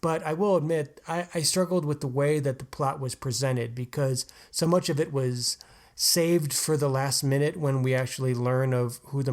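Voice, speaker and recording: A man co-hosting a podcast, talking about a novel in a casual, earnest and analytical way. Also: mid-range voice. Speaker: podcast co-host. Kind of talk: casual book discussion.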